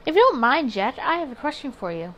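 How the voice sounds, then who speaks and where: A woman's voice: sits high, big pitch swings, fast, a bit bright, pitched above the performer's own voice. A woman plays a sly, flirtatious man in a 1920s visual novel. The delivery is playful and teasing, like sharing a secret. Some noise sits in the background.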